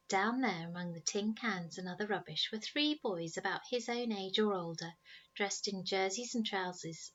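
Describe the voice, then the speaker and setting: A woman reading a children's story aloud in an expressive storytelling style, like a teacher reading to pupils. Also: mid-range voice, muffled recording.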